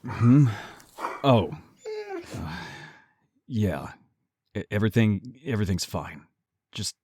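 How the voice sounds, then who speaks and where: A man playing a protective werewolf brother in an audio roleplay, gruff, blunt and exasperated but caring. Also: lowish voice, animated, takes it slowly, mellow, no echo, full character voice.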